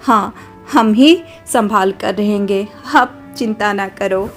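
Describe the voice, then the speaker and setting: A woman voicing a dutiful young village bride who speaks softly and politely to her mother-in-law. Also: medium pitch, slow, over music. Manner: soft, polite, deferential.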